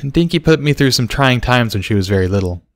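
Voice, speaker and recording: Storybook pony mother, voiced by a man: lifted pitch, caring yet stern, motherly. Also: lively intonation, a little fast, no echo, clean studio sound, lightly acted. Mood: motherly, pleading.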